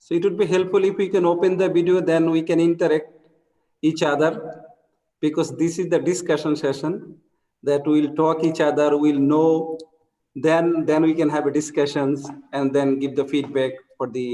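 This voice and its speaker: A man's voice: a little high, little pitch movement, a little fast, warm tone, in a large hall, poor audio. A man with a Bangladeshi accent, speaking in a friendly, urging way while leading a small online group discussion. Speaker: breakout group participant. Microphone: video call.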